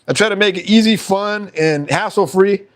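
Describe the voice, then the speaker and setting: A man's voice: high-pitched, moderate pace. Chatty man hosting a live stream. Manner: chatty, reads out viewer comments, laughs often. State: slightly hoarse. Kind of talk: casual live-stream chat.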